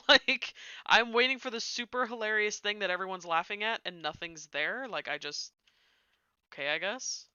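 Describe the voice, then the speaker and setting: A woman chats on a stream while she builds in a game, wandering off mid-thought and muttering to herself. Her voice is very bright and smooth, sitting right on a dry close mic. The sound is a little dull and lo-fi. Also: mid-range voice, moderate pace, no room sound.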